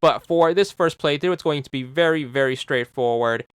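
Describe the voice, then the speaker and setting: A man's voice: medium pitch, normal speed, smooth, no echo. A man hosting a game walkthrough, giving casual step-by-step instructions to viewers in a scripted narration style. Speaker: host of a game achievement guide.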